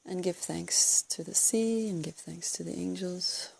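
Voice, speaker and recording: A woman leading a guided meditation, giving calm, unscripted instructions in a soothing, breathy way with gentle pauses. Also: on the low side, no echo, low-quality recording. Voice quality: breathy, husky, creaky.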